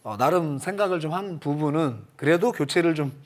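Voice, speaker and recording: A man giving unscripted baseball analysis on a sports panel, explaining his reasoning in a measured way. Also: fairly high pitch.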